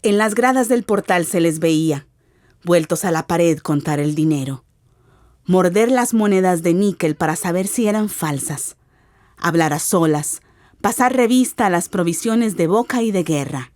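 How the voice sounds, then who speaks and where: A dry, close studio sound carries a woman's fairly low voice. She recites scripted literary narration for radio, deliberately and with dramatic weight. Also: a little mellow, no echo.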